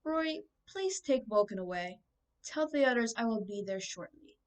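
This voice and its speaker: A young female reader voicing a calm, authoritative old male wizard with a measured, courteous manner in a storybook. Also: on the high side, natural voice.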